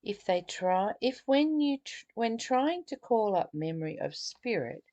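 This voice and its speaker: A woman's voice: medium pitch, big pitch swings, unhurried, mellow. A woman reading aloud from a spiritual study text and adding her own earnest, reflective commentary, sometimes stumbling and repeating phrases. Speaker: spiritual teacher leading a book study.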